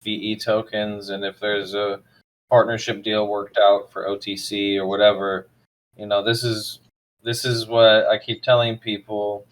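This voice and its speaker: A man hosting a casual online crypto chat, relaxed, with frequent ums, trailing off softly. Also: fairly low pitch, rough recording. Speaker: host of crypto investor AMA.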